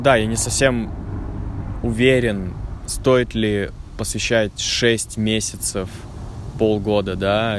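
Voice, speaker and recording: A man records a relaxed vlog outdoors in a forest. His voice sits a little low, and he talks in a clear, unhurried way, explaining things to learners. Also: slight background noise. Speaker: language teacher vlogger. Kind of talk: casual vlog talk to learners.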